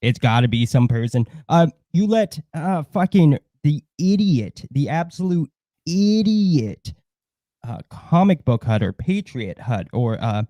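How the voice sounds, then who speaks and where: A man in casual livestream chat, blunt and disdainful, swearing heavily and haltingly circling back over his points. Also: a little high, sing-song, warm tone, no room sound, clean studio sound. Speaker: co-host of a livestream.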